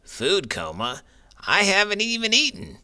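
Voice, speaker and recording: Slow, sharp-edged old cowboy. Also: male voice, high voice, huge pitch swings, clean tone, pitched above the performer's own voice, exaggerated voice. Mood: confused. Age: older. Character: gruff food-loving old cowboy puppet sidekick. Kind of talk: comic banter on a children's read-aloud show.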